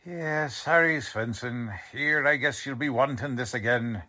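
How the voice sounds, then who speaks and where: A woman's voice: very deep voice, a little slow, sharp tone, full character voice. A woman playing a confident, folksy male police lieutenant in a radio drama. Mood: brisk, commanding. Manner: folksy, ungrammatical, reassuring.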